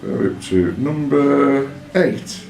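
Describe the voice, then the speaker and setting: Quizmaster in an echoey room. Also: male voice, mid-range voice, lively intonation, slow pace, a little mellow, slight background noise. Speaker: quiz master reading questions and judging answers. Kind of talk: live quiz question reading with informal judging. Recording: roomy echo, faint music behind.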